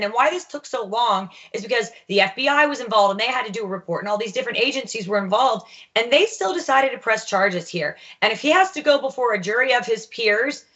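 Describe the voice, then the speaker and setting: A woman's voice: a little high, a bit bright, lo-fi sound. A woman panelist on a talk show, firmly explaining a case step by step.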